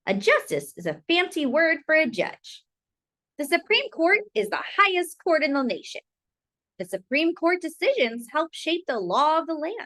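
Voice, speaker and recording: A woman narrates a picture book for children in a fairly high voice. Her intonation is very lively, rising and falling widely. She phrases things deliberately and stretches key words for dramatic emphasis. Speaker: storyteller reading a picture book aloud. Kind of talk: expressive children's read-aloud narration.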